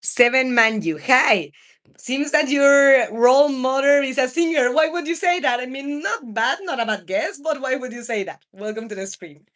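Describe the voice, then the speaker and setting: Higher-voiced Mexican woman chatting on stream. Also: moderate pace, fairly bright tone. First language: Spanish. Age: 30s. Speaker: video game live streamer. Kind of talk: casual livestream chat, answering viewers.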